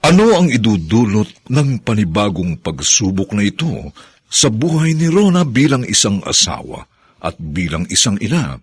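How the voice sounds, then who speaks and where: A man's voice: fairly low pitch, sing-song, normal speed, husky, dry recording. A man announcing and narrating a radio drama serial in a formal, theatrical, scripted style.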